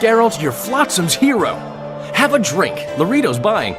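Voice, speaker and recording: High, lively theatrical bard. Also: male voice, normal speed, over music. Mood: merry. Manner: chatty, theatrical. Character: jovial, flighty male bard, theatrical charmer. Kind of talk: video game voice acting.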